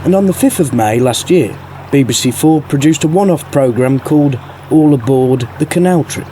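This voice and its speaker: A man's voice: medium pitch, normal speed, mellow, a little hiss or hum. A man reporting for a radio documentary, reading scripted narration and putting interview questions.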